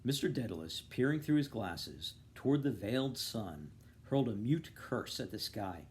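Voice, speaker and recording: A man giving an expressive, animated reading of a novel, acting out the dialogue. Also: medium pitch, normal speed. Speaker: volunteer reader. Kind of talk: expressive literary audiobook-style reading.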